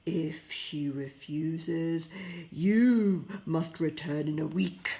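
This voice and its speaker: A hideous, angry male beast from a fairy tale, acted out by a woman reader. The delivery is slow and loud, with a gravelly edge and a commanding, threatening roar. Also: sits low, exaggerated voice. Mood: menacing, bargaining.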